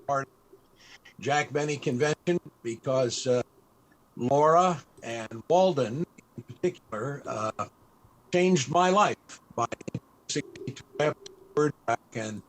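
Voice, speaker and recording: A man with a fairly high voice hosts an online panel over a video call. He speaks off the cuff and haltingly, with courteous, formal appreciation for his guests. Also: poor audio. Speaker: panel host and interviewer. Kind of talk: hosting an online interview, off the cuff. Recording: video call with occasional breakup.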